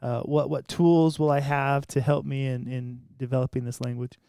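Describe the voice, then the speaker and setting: Mellow, reflective podcast host man. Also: mid-range voice, little pitch movement, moderate pace, gravelly, clear, resonant tone, dry recording, studio quality. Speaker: podcast co-host, former mission president. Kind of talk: conversational interview.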